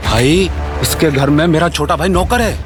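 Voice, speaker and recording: A man acts a street thug's henchman in an audio drama. He is smug and menacing in a moderately high male voice, with clear music underneath. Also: normal speed, natural voice. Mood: threatening. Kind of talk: Hindi audio drama acting.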